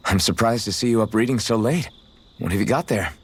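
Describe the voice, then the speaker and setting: An earnest young swordsman from a fantasy game. His voice sits fairly low with a husky edge. He speaks gently and sincerely, and turns awkward when flustered. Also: brisk. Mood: friendly, teasing. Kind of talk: video game cutscene acting.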